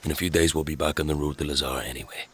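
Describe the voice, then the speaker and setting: A man playing a brooding, reluctant fantasy hero burdened with dark powers, hesitant, guarded and blunt in an audio drama. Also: extremely low pitch, steady tone, racing, slight background noise. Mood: defensive, frustrated.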